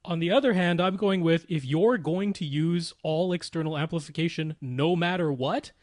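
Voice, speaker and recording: Guy with a slightly high voice, dry sound, podcast cohost explaining tech stuff step by step, casual. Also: moderate pace, no echo. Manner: methodical, explanatory. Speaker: podcast co-host.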